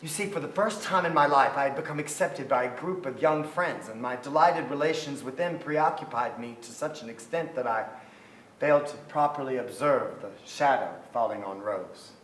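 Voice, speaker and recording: An actor in his forties playing a reflective playwright remembering his troubled sister in a measured, literary staged reading. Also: medium pitch, a bit bright, lightly acted. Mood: regretful. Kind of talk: dramatic staged reading of memoir. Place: theatre stage before an audience. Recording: echoey theatre stage, noticeable noise.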